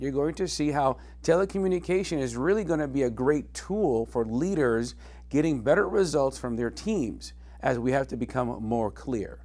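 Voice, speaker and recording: A man's voice: on the high side, a little mellow. Man giving earnest business coaching advice. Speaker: leadership coach and presenter. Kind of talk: direct-to-camera business advice.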